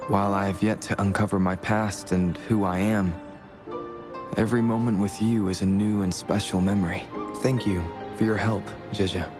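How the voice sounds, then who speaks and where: Guy voicing sincere young adventurer hero in a game, slightly low voice, music behind him. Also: steady tone, moderate pace, close to an everyday voice. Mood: sincere, thankful. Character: steady, kind young male wandering adventurer with lost memory. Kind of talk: video game voice acting. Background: background music.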